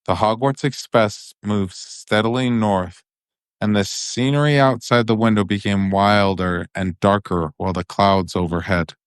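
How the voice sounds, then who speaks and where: A man's voice: fairly low pitch, fairly even intonation, unhurried, smooth, no echo, clean studio sound. A man narrating a storybook for bedtime in an informal, occasionally stumbling way.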